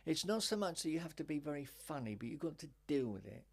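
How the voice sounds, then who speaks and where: An older woman with a deep voice, a stand-up comedian telling wry anecdotes in a relaxed interview chat. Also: quick pace. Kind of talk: casual interview chat, storytelling.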